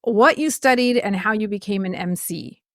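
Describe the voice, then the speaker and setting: A woman's voice: mid-range voice, moderate pace, no echo, clean studio sound. A woman hosting a podcast, asking questions with short affirmations and frequent laughs. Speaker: podcast host. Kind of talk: casual interview chat.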